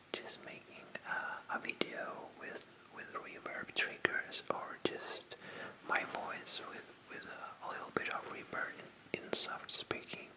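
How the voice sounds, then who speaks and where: ASMR host, full whisper, very slow and halting, addressing viewers casually. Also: bright, forceful tone, poor audio. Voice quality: pure breathy whisper. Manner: hesitant, halting delivery with repetitions. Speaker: ASMR video creator.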